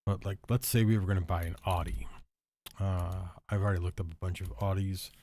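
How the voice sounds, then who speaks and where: A man hosting a casual solo talk, hoarse and stuffy after an illness, rambling and thinking aloud with lots of fillers and restarts. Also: deep voice, steady tone, fairly quick, dark tone. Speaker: show host.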